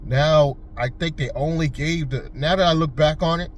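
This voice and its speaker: A man's voice: fairly high pitch, moderate pace, slight background noise. A man from Connecticut who drives for a rideshare service, talking to his viewers in a loose, rambling vlog style with frequent fillers and restarts. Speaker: rideshare driver and channel host. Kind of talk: casual vlog talk.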